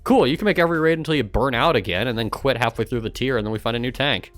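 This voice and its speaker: A man's voice: medium pitch, racing, a bit bright, faint music behind. A man streaming casually, reading aloud and adding sarcastic, exasperated commentary, with swearing. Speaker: streamer and gaming guild member.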